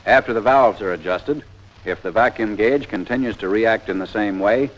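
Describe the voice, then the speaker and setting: A man's voice: on the low side, normal speed. An American man narrating a 1940s instructional training film in a plain-spoken, methodical, step-by-step way. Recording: dull, lo-fi 1940s film soundtrack.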